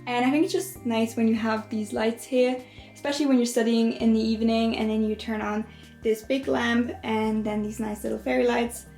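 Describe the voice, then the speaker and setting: A woman's voice: a little high, level tone, moderate pace, vocal fry, a little mellow, soft background music. A young woman with German as her first language, casually showing her dorm room and often doubling words for emphasis. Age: young adult. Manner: chatty, repeats words for emphasis ("very, very"). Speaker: vlogger giving a dorm room tour. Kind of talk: casual tour narration.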